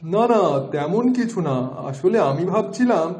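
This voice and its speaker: A man's voice: high-pitched, animated, normal speed, darkish tone, reverberant. A man voicing a compliant husband and doting father in a cartoon, mild and hesitant in manner. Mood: agreeable, mild. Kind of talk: cartoon dubbing.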